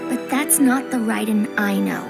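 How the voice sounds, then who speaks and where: A woman playing an earnest, gentle young genius girl in a video game, speaking softly and sincerely. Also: mid-range voice, a little slow, gravelly. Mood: earnest, admiring. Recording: music playing behind.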